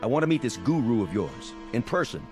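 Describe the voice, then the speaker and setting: Menacing, mocking major for a male actor, very animated delivery, slangy and commanding, dramatic score underneath. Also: mid-range voice, fairly quick, over music, natural voice. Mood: brisk, commanding. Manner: sarcastic, theatrical, slangy, barking orders. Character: mocking, domineering military major, cynical and menacing.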